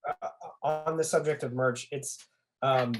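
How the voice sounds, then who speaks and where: An androgynous-sounding speaker chats casually as a fan guest on a podcast. The delivery is earnest and a little halting. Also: mid-range voice, steady tone, a little hiss or hum. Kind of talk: casual chat.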